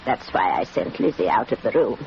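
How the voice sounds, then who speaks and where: An actress playing a sharp-tongued, bossy, suspicious old country matriarch in a 1940s radio drama. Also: female voice, a bass voice, sing-song, a little fast. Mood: confiding, suspicious. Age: older. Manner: imperious, blunt, scornful. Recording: muffled, noisy 1940s radio recording.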